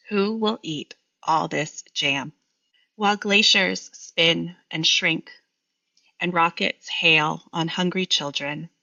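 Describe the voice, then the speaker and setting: A woman's voice: on the low side, sharp tone, no echo, dull audio. A Vietnamese American woman poet speaking thoughtfully and reflectively as a guest. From Vietnamese American, from the United States. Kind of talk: reflective conversation and poetry reading.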